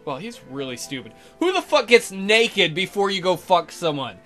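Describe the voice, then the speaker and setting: A man with a bright, animated voice narrates a visual novel over music. He keeps breaking off to mock it with crude jokes, as a let's play host. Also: high voice, normal speed. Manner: crude, mocking humour.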